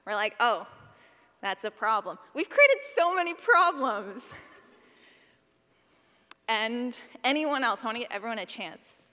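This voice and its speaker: A woman giving a live workshop talk as a sound designer, animated, playful and informal, acting out examples as she goes. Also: a little high, unhurried, long pauses. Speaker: session presenter, podcast sound designer. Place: large indoor session room. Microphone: presentation microphone. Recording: echoey hall, live presentation.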